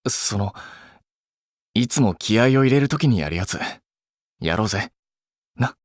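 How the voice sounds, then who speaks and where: An actor playing a blunt, practical young male idol in a game, deadpan and casual with an awkwardly kind streak. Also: fairly low pitch, a little slow, no echo, studio quality. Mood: awkward, apologetic. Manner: deadpan, casual clipped speech. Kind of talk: Japanese mobile game voice acting.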